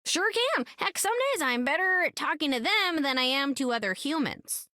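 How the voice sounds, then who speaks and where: A perky young video game heroine, folksy and upbeat. Her high voice is bright and bouncy, with lively swings in pitch. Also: normal speed, dry recording, studio quality, full character voice. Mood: cheerful. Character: perky, cheerful young woman who talks to squirrels. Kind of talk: video game character voice lines.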